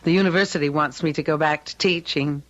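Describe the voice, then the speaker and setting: An actress playing an anxious, wistful widow in an old-time radio drama, with a hesitant, emotive delivery. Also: female voice, low voice, steady tone, fast, fairly bright tone. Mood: wistful, troubled. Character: anxious grieving widow, wistful and stubbornly convinced. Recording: muffled vintage radio recording, music.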